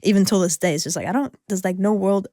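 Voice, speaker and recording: A woman's voice: mid-range voice, fast talker, dark tone, dry recording, studio quality. A young woman from New Zealand hosting a casual interview, chatty and encouraging, with lots of fillers. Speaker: interviewer, musician.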